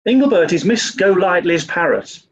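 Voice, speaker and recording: An actor playing a dim-witted, snobbish English gentleman with an upper-class accent, flustered and hesitant, in a 1940s radio play style. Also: high voice, animated, normal speed. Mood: flustered, haughty.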